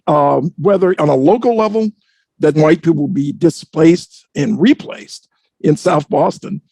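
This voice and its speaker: Husky, creaky higher-pitched man on a panel. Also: warm tone, no room sound. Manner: deliberate, articulate, formal wording. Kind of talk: reflective panel commentary.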